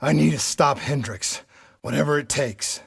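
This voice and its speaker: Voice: mid-range voice, normal speed, vocal fry, breathy edge, dry recording, studio quality. An actor playing a determined, wounded soldier in a video game, intense and earnest. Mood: pained, determined. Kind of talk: cinematic video game acting. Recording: loud music under the voice.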